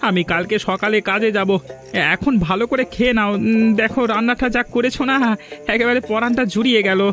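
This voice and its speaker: A man's voice: high voice, fairly even intonation, a little fast, fairly bright tone, faint music behind. A man voicing an eager, naive young village man in a cartoon. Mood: earnest, hopeful. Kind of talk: Bengali cartoon voice acting.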